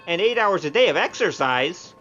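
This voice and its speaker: A man's voice: sits high, sing-song, moderate pace, pushed and bright, muffled recording, a little hiss or hum, heavily acted. A man playing an easygoing, teasing gambler hero with a flippant manner in an audio drama.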